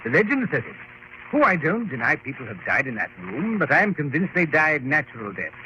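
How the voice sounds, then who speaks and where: An actor playing a confident, scornful aristocrat with breezy diction in an old radio drama. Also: male voice, fairly high pitch, wildly swooping pitch, brisk, sharp tone, exaggerated voice. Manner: hearty, breezy, old-fashioned upper-class diction. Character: confident English aristocrat, jovially scornful of ghost legends. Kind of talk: 1950s radio drama acting. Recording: noisy lo-fi old radio recording.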